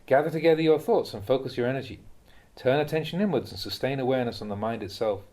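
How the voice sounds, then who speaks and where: A man reads translated Buddhist teachings aloud in a measured, instructive way with clear phrasing. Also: mid-range voice, dark tone. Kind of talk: audiobook-style reading of spiritual talks.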